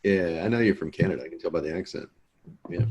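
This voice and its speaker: A man's voice: low-pitched, fast talker, a little mellow, breathy edge. A man hosting a course, joking in laid-back casual chat on a video call before the lesson. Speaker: course host.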